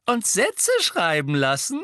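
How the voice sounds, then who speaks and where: A man voicing a cheeky, brash teenage prankster twin in an audiobook story, loud, breezy and quick to argue. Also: mid-range voice, lively intonation, no echo, studio quality. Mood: mocking.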